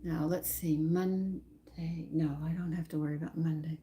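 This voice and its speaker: A woman's voice: low-pitched, fairly even intonation, normal speed, warm tone, a little hiss or hum. A woman hosting a home cooking live stream, chatting casually with viewers and rambling aloud as she searches her memory. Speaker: home cooking channel host.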